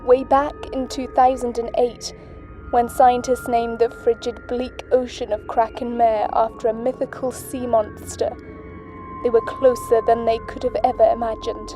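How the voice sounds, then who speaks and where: Woman acting as a solemn astronaut survivor, flat steady voice, music underneath. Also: on the high side, moderate pace, lightly acted. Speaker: astronaut Butler. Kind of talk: audio drama acting.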